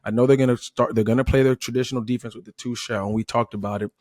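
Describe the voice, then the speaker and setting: A man's voice: medium pitch, fairly even intonation, rapid-fire, darkish tone, no echo, clean studio sound. A man from Florida giving conversational football analysis like a coach, emphatic and checking in with rhetorical questions. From Florida, United States. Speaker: former player turned football analyst.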